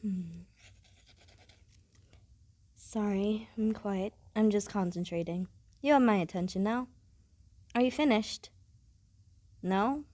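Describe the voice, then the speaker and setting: A blunt, aloof schoolgirl type, acted by a woman for an audio roleplay. Her voice is soft-edged and dark, delivered deadpan in formal, matter-of-fact phrases. The recording is close and very dry. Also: medium pitch, takes it slowly, long pauses, dry recording. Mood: focused, matter-of-fact. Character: blunt, aloof, studious female student, coolly matter-of-fact. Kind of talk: scripted audio roleplay addressed to the listener.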